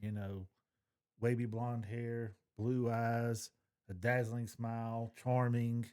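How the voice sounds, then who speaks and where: A man's voice: medium pitch, level tone, slow, darkish tone, clear, resonant tone. A man with a mid-range voice talks as a podcast co-host in a casual fan discussion. He is informal and opinionated, speaking his mind bluntly.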